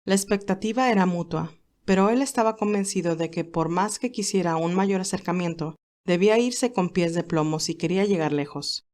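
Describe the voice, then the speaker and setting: A woman reading scripted story narration in a measured, expressive way. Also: lowish voice.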